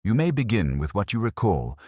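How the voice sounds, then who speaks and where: A man voicing a huge, imperious genius detective in a mystery story, pompous, curt and rumbling. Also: sing-song, brisk, warm tone, no room sound, studio quality. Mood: stern.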